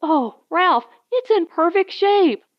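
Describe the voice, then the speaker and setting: A woman acts out a frantic young wife in a space story audiobook. Her voice is high and swings widely, with breathless, urgent exclamations. The recording is dry and slightly dull. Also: expressive, takes it slowly, dry recording, heavily acted. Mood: excited, urgent. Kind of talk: dramatic audiobook character reading.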